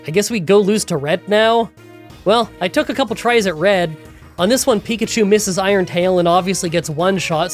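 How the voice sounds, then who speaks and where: Nasal, congested gaming YouTuber. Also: mid-range voice. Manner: chatty, rambling storytelling. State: congested from a cold. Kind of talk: game challenge voiceover recap. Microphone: close voiceover mic.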